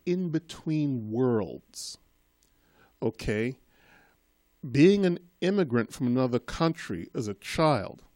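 A man's voice: mid-range voice, a little mellow, dry recording, studio quality. Earnest rambling man in his fifties. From Boston, born in Trinidad. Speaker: guest, school committee member. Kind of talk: casual interview.

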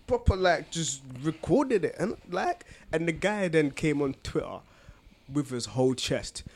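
A man's voice: fairly high pitch, sing-song, measured pace, slight background noise. London man in casual, slangy podcast chat. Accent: British, London. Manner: slangy and colloquial. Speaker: podcast co-host.

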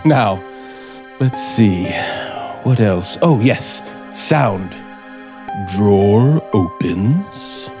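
A man's voice: on the low side, heavily acted. An actor playing an embittered scriptwriter in a 1950s radio thriller, theatrical and mockingly polite as he coolly plots murder. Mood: sardonic, deliberate. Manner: theatrical, deliberate, mockingly polite, narrating his own actions. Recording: vintage 1950s radio broadcast with music.

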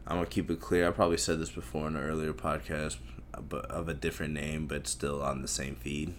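A man's voice: extremely low pitch, level tone, a little fast. A young man hosting a solo podcast, rambling in a crude, profane and self-mocking way that often loses its thread. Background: faint noise from outside.

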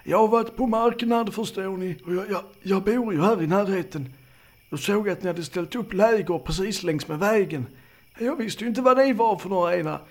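A man's voice: high voice, voice pushed up. A man voicing a frightened, muddled, polite old country man who stammers and repeats his words in a courteous, old-fashioned way. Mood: apologetic, explaining. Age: older.

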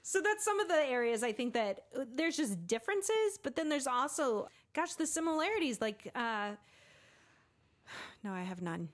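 A woman's voice: high-pitched, very animated, moderate pace. A woman in her thirties co-hosting a casual faith podcast, laughing often and restarting her sentences. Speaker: podcast co-host.

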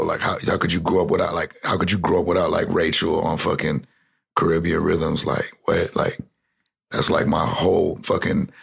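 A young man from South Texas with a deep, gravelly voice talking in a loose, rambling way. His speech is peppered with fillers and swearing, and the husky grain never lets up. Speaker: interview guest, rapper. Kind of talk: casual interview talk.

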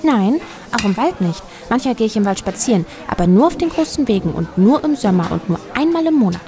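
A rambling, earnest hotel receptionist. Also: female voice, fairly high pitch, sing-song, a little fast, mellow. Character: eager, naive, lonely hotel receptionist woman, over-detailed. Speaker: actor in an audio drama. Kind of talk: German audio drama acting.

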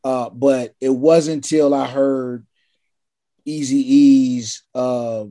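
Man, podcast host, relaxed chat, medium pitch, dry room. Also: very slow, no echo. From California, United States. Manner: relaxed, easygoing, short agreements. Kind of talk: casual interview chat.

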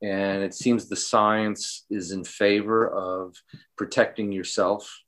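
Male interview guest, lowish voice, casual, measured, halting delivery. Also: little pitch movement, a bit bright.

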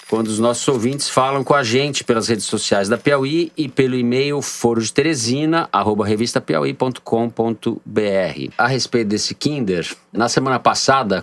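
A man with a São Paulo accent hosting a political podcast, bantering with co-hosts and reading listener messages in a jokey way. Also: fairly low pitch. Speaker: podcast host. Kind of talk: casual political podcast chat.

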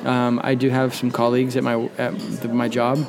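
A man talking as a guest in a casual interview, rambling and halting, with the occasional swear. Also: medium pitch, monotone, music in the background. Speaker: guest, surf photographer.

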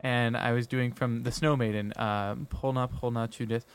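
A man's voice: medium pitch, little pitch movement, moderate pace, clean tone. A young man, an opera singer co-hosting, chatting casually and laughing as he acts out stories. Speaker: podcast co-host, opera singer. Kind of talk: casual chat.

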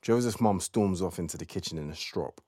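Low-pitched male storyteller. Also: fairly quick, dark tone, no room sound, studio quality. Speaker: narrator. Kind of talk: scripted storytelling narration.

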